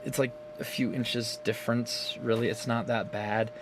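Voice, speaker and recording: A man's voice: mid-range voice, little pitch movement, moderate pace, background noise. A man recording himself on his phone camera at home, giving a casual, rambling and profane recap of a game's story, laughing often. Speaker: gaming video creator.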